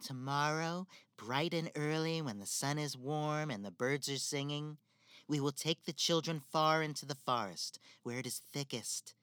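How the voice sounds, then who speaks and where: A woman's voice: sits low, moderate pace, fairly bright tone, dry recording, clean studio sound. A woman playing a cold, manipulative stepmother in a spoken fairy-tale drama. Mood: scheming. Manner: manipulative, icy, theatrical.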